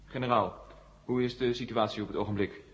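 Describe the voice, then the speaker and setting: Fast-talking probing reporter played by a man. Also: medium pitch, steady tone, fairly bright tone, natural voice. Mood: probing, direct. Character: probing, brisk press reporter questioning military chiefs. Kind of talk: Dutch radio play acting, 1970. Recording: dull, muffled 1970 radio recording.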